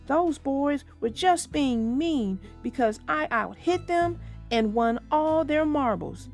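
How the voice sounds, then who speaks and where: Woman voicing an emphatic, high-pitched little girl bear. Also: expressive, slow, a little mellow, faint music behind, full character voice. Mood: indignant. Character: young girl bear cub, proud and indignant. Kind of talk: children's storybook read-aloud.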